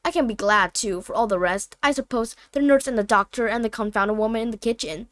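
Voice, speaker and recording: A woman's voice: on the high side, brisk, a bit bright, no echo, natural voice. Woman playing a cross, sardonic bedridden man. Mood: bitter, sharp. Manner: curt, grumbling, sardonic. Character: cross, bitter bedridden man, grimly sarcastic. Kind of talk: storybook character voice.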